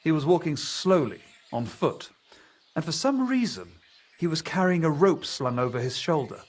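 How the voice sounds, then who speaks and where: Pious village priest for a video game: hesitant, earnest, rough-edged voice, slightly high. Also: male voice, very animated, normal speed. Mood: reluctant, confiding.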